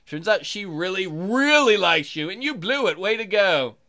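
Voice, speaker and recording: A woman's voice: mid-range voice, lively intonation, moderate pace, a bit bright. A woman hosting a let's play, reading aloud and commenting casually in a playful, sarcastic way.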